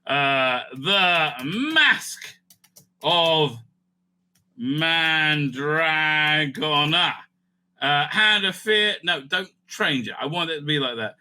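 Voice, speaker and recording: A man giving casual, rambling fan commentary as he ranks things, excitable and sweary, sometimes muttering to himself. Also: fairly high pitch, drawn-out words. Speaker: host of a fan ranking show.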